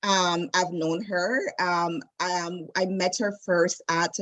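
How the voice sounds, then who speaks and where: A woman in her late forties with a Jamaican accent, a wedding travel specialist chatting as an interview guest in a lively storytelling way, with many fillers and restarts. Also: fairly low pitch, takes it slowly. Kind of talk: casual interview chat.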